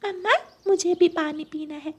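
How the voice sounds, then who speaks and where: A woman's voice: very high-pitched, fairly even intonation, normal speed, voice pushed up. A woman voicing a small, innocent baby bird in a childlike, whiny manner for a children's cartoon. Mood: timid, eager. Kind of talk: children's cartoon story dubbing.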